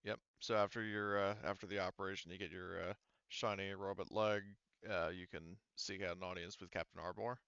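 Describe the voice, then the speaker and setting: A man game-masters a tabletop session in a low, bright voice. He explains casually, feeling his way through sentences. The sound is dry and slightly dulled. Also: steady tone, vocal fry, smooth, no echo, lo-fi sound. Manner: explanatory, halting.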